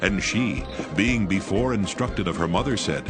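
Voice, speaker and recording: A man narrating scripture in a measured, solemn, scripted style. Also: deep voice, expressive. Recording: music bed behind voice. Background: music.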